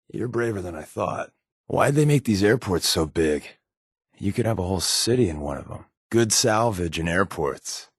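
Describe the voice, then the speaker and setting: A man's voice: fairly low pitch, a bit bright, no room sound, studio quality, full character voice. An actor playing a wisecracking male spy companion in a post-apocalyptic video game, with a sardonic, dryly quipping manner.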